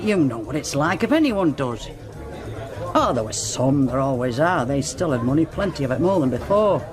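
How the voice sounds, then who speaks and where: An actress playing a blunt, sardonic middle-aged club landlady in a radio drama. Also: deep voice. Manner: blunt, wry, colloquial. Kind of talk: naturalistic radio drama acting.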